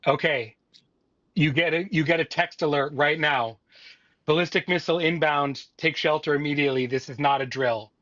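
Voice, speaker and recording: A man's voice: fairly high pitch, normal speed, fairly bright tone, no room sound, dull audio. A man hosting a podcast, talking in a conversational narrating and interviewing style. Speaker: podcast host.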